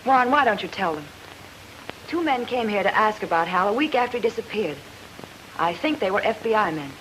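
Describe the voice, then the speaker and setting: A woman's voice: medium pitch, expressive, brisk, lightly acted. Polite ranch hostess played by a woman, anxious undertone, swooping delivery, old radio drama with noise. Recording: noisy old radio recording.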